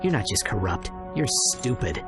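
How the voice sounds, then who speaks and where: A man's voice: fairly low pitch, very animated, moderate pace. A man voicing a brave young alien hero in a video game, casual, blunt and sarcastic. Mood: defiant. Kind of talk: video game cutscene acting. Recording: loud music behind the voice.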